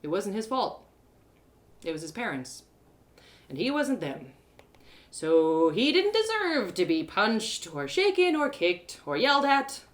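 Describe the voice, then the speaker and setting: A woman's voice: medium pitch, very animated, measured pace. A woman narrating a first-person audio story in a dramatic storytelling style.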